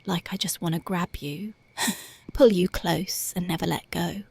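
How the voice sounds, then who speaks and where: A woman voicing a tough but devoted young punk girlfriend in an ASMR roleplay, talking softly and intimately close to the mic, often dropping to a whisper. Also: on the low side, measured pace, vocal fry, husky, no room sound, full character voice. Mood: tender, dreamy. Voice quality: soft, intimate, partly whispered. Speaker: voice actress.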